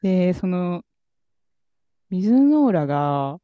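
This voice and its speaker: A man co-hosting a podcast, telling a story in a relaxed, rambling way with many fillers. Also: sits high, extremely slow, smooth, no echo, dull audio. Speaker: podcast co-host. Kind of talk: casual chat about music.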